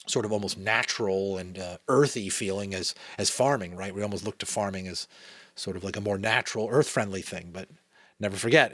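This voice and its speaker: A man's voice: on the low side, fairly bright tone, no room sound. American man reading aloud, dry close sound. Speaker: amateur audiobook narrator. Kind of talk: dramatic storybook reading with casual asides.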